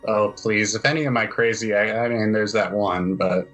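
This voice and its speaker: A man with a slightly low voice speaks over music. He improvises a tabletop game in a casual, halting way, often doubling back on his words. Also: steady tone, fairly bright tone. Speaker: game master and player. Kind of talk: improvised tabletop roleplay narration and casual chat. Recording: music playing under the voice.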